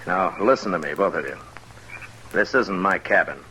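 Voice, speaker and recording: An American actor playing a weary, firm frontier marshal in an old radio western, terse, measured and authoritative. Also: male voice, low voice, pushed and bright. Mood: stern. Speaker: lead actor and narrator. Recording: noisy vintage radio recording.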